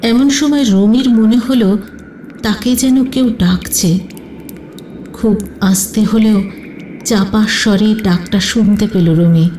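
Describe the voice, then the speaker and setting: A woman's voice: medium pitch, slow, long pauses, mellow, a little hiss or hum. A woman narrating a scripted detective story as an audio storyteller. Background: music.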